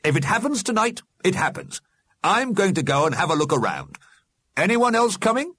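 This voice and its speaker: A man's voice: high voice, big pitch swings, moderate pace, a bit bright, slightly rough, no room sound, clean studio sound, voice pushed up, heavily acted. A man voicing a blustery, restless dead alderman, grumbling and blunt, for a storybook. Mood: determined.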